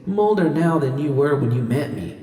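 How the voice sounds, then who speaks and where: A man's voice: a little high, animated, a little fast, dark tone, reverberant, in a large hall, recorded from a distance, pitched above the performer's own voice, natural voice. A man voicing a hostile, wounded teenage girl in an audiobook, bitter and accusing. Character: hostile, wounded sixteen-year-old girl in bitter argument. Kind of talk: audiobook character dialogue.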